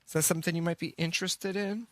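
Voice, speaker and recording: A man's voice: high voice, steady tone, fast talker, no room sound, studio quality. An American man hosting a morning radio show, moving between banter, narration and phone patter. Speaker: radio host.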